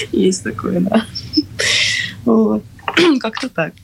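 A woman's voice: medium pitch, animated, very slow, gravelly, husky, rough recording. A young woman speaking as an interview guest in casual chat. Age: young adult. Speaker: interview guest, drama student.

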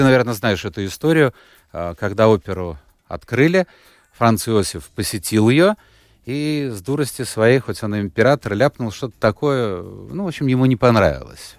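A man with a fairly low voice chats loosely through an interview, often stopping and restarting his sentences. He is recorded close and dry, with no room sound. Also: dry recording. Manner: rambling, with many fillers and restarts. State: unwell, recovering. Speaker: radio host, guest here. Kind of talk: relaxed conversational interview.

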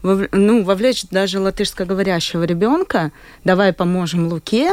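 A woman's voice: mid-range voice, moderate pace, darkish tone, no echo. A woman, a parent guest on a radio discussion, giving thoughtful, tentative answers.

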